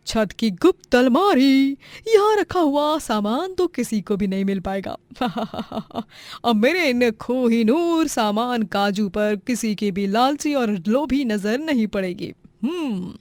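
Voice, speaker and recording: High-pitched, melodramatic, bossy mother-in-law. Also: animated, takes it slowly, a little mellow, no room sound. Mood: gloating, secretive. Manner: theatrical, scolding, bossy. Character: domineering, greedy, melodramatic middle-aged Indian mother-in-law. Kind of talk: animated Hindi moral-story voice acting.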